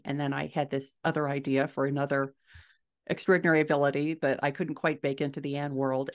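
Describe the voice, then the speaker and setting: Woman, middle-aged, low register. Author guest, explaining in a conversational interview style. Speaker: podcast guest, fiction author. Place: indoors at home, small furnished room. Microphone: video call.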